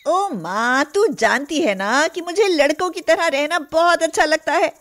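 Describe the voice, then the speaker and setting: Tomboy wrestler daughter , played by a woman: defiant, whiny, high-pitched, slow delivery, cartoon moral tale. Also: lively intonation, fairly bright tone. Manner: whining, defiant. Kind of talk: animated moral story dialogue.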